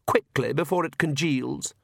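A man voicing a genteel vampire wife and mother in a storybook, raised in pitch, refined, hesitant and politely disdainful. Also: normal speed, creaky, a bit bright, dry recording, studio quality, full character voice. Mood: nervous, supportive.

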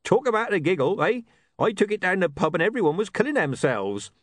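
A cheeky, beaming little man who keeps nudging with a grin, his voice bouncing high and lively, as a male reader puts him on. It is a dry, close storybook reading. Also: a little fast, dry recording. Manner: chuckling, cheeky.

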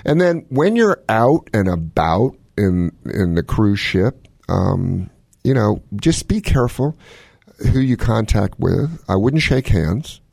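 A man with a low, husky voice talks health on a radio show in a folksy, joking way. His pitch moves around a lot as he hands out casual advice. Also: big pitch swings, takes it slowly, darkish tone, no room sound, clean studio sound. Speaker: medical expert radio host.

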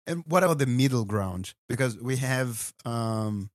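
A man interviewing a guest, pausing often with "um" as he works out his questions. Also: mid-range voice, expressive, no echo, clean studio sound. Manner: halting, searching for words with many "um"s.

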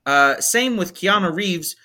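A man's voice: high-pitched, animated, takes it slowly, sharp tone. A man in his twenties, a podcast co-host, rambling through a casual film review with plenty of fillers. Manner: rambling, hesitant, many fillers. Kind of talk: casual movie review chat.

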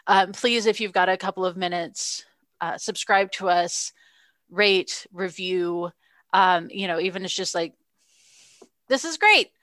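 A woman's voice: on the low side, a little slow, fairly bright tone, no echo, studio quality. A woman co-hosting a podcast, talking in a reflective, earnest way with frequent restarts. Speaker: podcast co-host. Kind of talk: casual chat.

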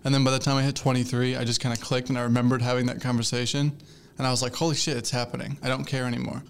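Gravelly-voiced rambling young man. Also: mid-range voice, level tone, very fast. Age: 20s. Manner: rambling, hesitant, full of fillers and restarts; laughs easily, gets flustered when teased. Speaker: podcast co-host. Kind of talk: casual chat.

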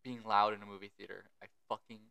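A man's voice: fairly low pitch, level tone, fast, vocal fry, bright, forceful tone. A young man co-hosting a casual podcast on a close microphone, laid-back and swearing casually as he tells stories. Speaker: podcast co-host. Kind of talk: casual chat. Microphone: podcast microphone close to the face.

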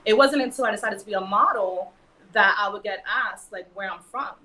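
A woman's voice: mid-range voice, brisk, pushed and bright, recorded from a distance. Woman, New York accent, earnest panel guest, roomy echo. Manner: earnest, filler-heavy, emphatic. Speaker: model and actress guest. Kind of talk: casual panel discussion.

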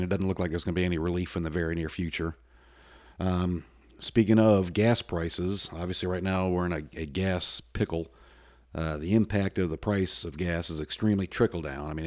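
A middle-aged American man hosting a solo podcast, talking in a loud, folksy, rambling way with many restarts. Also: low-pitched. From United States. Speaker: podcast host. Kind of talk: solo casual podcast talk.

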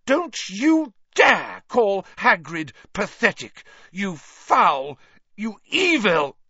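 An emotional, bookish teenage girl from a storybook, acted out by a man, pitched high and shrill. The delivery swings widely and carries a fierce, upset edge. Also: sing-song, normal speed, bright, forceful tone, no room sound, highs cut off, heavily acted. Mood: furious.

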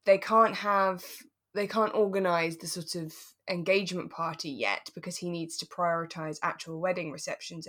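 A woman with a British accent, co-hosting a podcast in a relaxed, chatty style. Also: on the low side. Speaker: podcast co-host.

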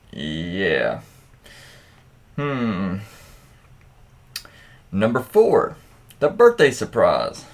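A man hosting a casual story read-aloud, speaking candidly and crudely with his own reaction asides. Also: lowish voice. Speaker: host reading stories aloud with commentary.

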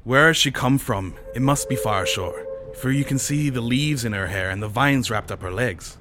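A man's voice: medium pitch, moderate pace, over music, pitched above the performer's own voice, lightly acted. A man voicing superstitious sailors telling ghost tales in awe, with rustic yarn-spinning speech, for an audiobook. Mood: puzzled awe. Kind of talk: audiobook character dialogue.

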